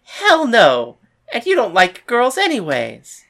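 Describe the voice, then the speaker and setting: A woman's voice: on the low side, very animated, takes it slowly, pushed and bright, heavily acted. A woman voicing a stubborn, earnest, hot-tempered young female fighter in an audiobook story. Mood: indignant. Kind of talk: audiobook character dialogue.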